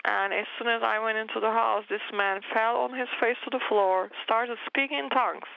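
A woman whose native language is Turkish tells her story as an interview guest, earnest and full of restarts. Her voice has a gravelly edge. The recording is dry and somewhat muffled. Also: a little high, fairly even intonation, moderate pace, no echo. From Turkey. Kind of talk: personal testimony interview.

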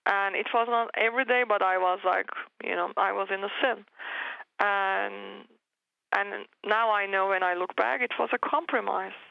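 A woman whose native language is Turkish tells her story as an interview guest, earnest and full of restarts. Her voice has a gravelly edge. The recording is dry and somewhat muffled. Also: medium pitch, steady tone, moderate pace, no echo. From Turkey. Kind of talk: personal testimony interview.

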